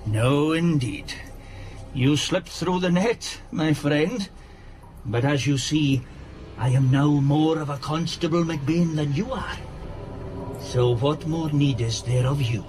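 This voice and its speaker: An actor playing a cold, gloating villain in a radio drama, deliberate and taunting. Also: male voice, fairly high pitch, a little mellow, slight background noise. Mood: cold menace. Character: menacing, gloating impostor villain, cold and cryptic.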